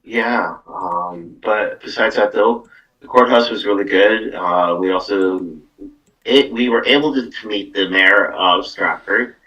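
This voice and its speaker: A man with a deep voice and a bright, forceful edge speaks a little away from the microphone. He hosts a casual live tarot stream, rambling and repeating himself as he goes. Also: a little slow, dull audio. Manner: rambling, repeats words and tags "though" onto phrases. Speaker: psychic medium host giving live card readings. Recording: distant from the microphone.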